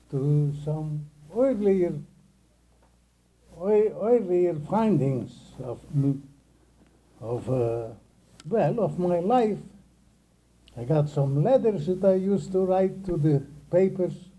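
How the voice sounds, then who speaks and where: An elderly man, slowly recalling his life in an oral history interview and often stopping to restart his words. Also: on the high side, expressive, dark tone, a little hiss or hum, low-quality recording. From Russian Empire, near Kiev. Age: older. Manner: halting, with many restarts and repeated words.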